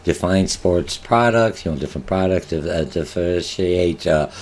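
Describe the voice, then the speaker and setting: Low-voiced older man lecturing, Polish native. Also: normal speed, a little mellow. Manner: rambling, many filler sounds and restarts, rhetorical questions. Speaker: college marketing instructor. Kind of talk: online lecture over slides. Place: home office, indoors.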